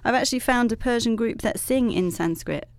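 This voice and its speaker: A woman's voice: fairly high pitch, fairly quick, no echo. Female radio host, talkative and curious, close dry studio mic. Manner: chatty, curious, lists words in a steady run. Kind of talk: casual radio chat.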